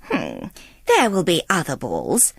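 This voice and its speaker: A woman's voice: deep voice, wildly swooping pitch, slow, creaky, breathy edge, dry recording, clean studio sound, pitched below the performer's own voice, heavily acted. A woman voicing a haughty, cruel middle-aged storybook stepmother with condescending, falsely polite phrasing. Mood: smug.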